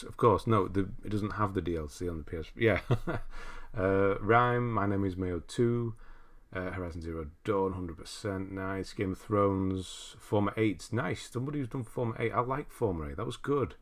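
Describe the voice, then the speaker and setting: A man's voice: low voice, normal speed. A man hosting a casual livestream, rambling and thinking aloud as he reads out messages and comments on lists. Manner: rambling, thinking aloud, often trailing into asides. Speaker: livestream host. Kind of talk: casual live chat, reading out viewer messages and commenting on game lists.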